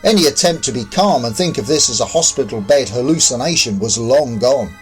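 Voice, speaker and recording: A man's voice: mid-range voice, animated, a little fast, faint music behind. A man who is an author narrating his own story in a scripted audiobook style.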